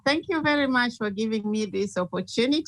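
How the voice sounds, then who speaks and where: A woman from South Sudan, telling her life story as a panelist over a video call in a measured, step-by-step way. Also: a little high, animated, noisy background.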